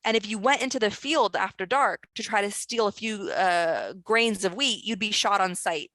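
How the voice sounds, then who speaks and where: A woman author and screenwriter speaking as a guest in an online discussion, talking in an earnest, urgent way with quick restarts. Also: medium pitch, sharp tone, dry recording. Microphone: video call.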